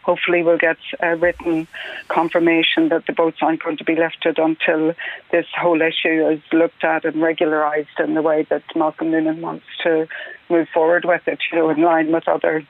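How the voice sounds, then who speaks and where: A man's voice: fairly high pitch, monotone, fairly quick. A middle-aged man from Belfast with a Northern Irish accent, calling in to a radio show, polite and hesitant with many fillers. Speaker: caller. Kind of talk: radio phone-in call. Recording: tinny phone line.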